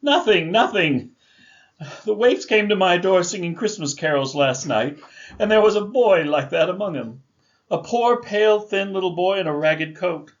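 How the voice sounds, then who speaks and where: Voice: medium pitch, expressive, moderate pace, highs cut off, close to an everyday voice. An actor playing a frightened, regretful old man in a radio drama, stammering and exclaiming with emotion. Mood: sad, regretful. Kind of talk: family radio drama acting.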